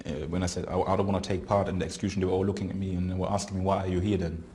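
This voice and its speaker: Very deep, flat-voiced man. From Germany. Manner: halting, searching for words. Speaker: interviewee. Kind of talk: recounting experiences in an interview. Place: prison.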